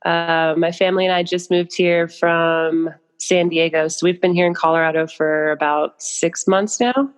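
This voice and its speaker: A woman's voice: on the low side, fairly even intonation, moderate pace, hardly any pauses, clean tone, long reverb tail. A young adult American woman speaking as a podcast guest, telling stories casually in loose, informal phrases with many asides. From United States. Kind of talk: casual conversational storytelling.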